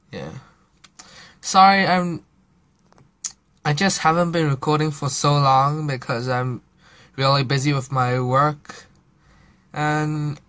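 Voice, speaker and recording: A young man giving a casual, unscripted show-and-tell in a halting, informal way, recording on a handheld phone in a room at home. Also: medium pitch, a bit bright, dry recording. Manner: halting, informal, stops to narrate handling the pieces. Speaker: hobby video creator presenting a toy build. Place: indoors, small room at home. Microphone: handheld phone behind the camera.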